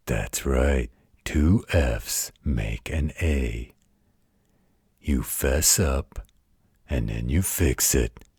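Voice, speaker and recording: A man voicing a gruff, gentle, protective werewolf who tells a bedtime story in a folksy, patient, gravelly voice. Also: no echo, studio quality, exaggerated voice. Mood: proud, reassuring. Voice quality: deep, gravelly, husky. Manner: folksy, slow, patient drawl with colloquial turns. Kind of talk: bedtime story roleplay audio.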